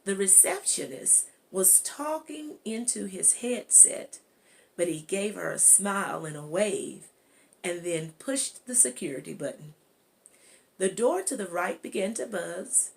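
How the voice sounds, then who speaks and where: Woman reading a kids book out loud, pretty slow, lots of pauses, normal pitch. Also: animated, vocal fry. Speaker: guest reader. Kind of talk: audiobook-style storybook read-aloud.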